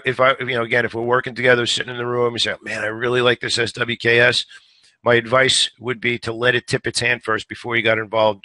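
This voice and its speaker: A man hosting a stock trading show, giving live market commentary in a conversational way, a little hoarse today and repeating words as he thinks. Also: on the low side, bright, forceful tone, no echo. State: slightly hoarse.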